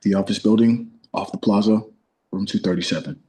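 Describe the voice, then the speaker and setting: Man acting low-voiced, menacing masked avenger. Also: fairly even intonation, brisk, mellow, close to an everyday voice. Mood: controlled threat. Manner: low, clipped, threatening delivery. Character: vengeful masked man in his mid-twenties, menacing, grim interrogator. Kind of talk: crime drama table read.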